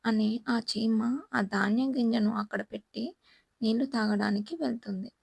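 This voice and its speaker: A woman's voice: fairly high pitch, moderate pace, gravelly, darkish tone, husky, no echo. A woman storyteller reading a scripted children's moral tale as narrator.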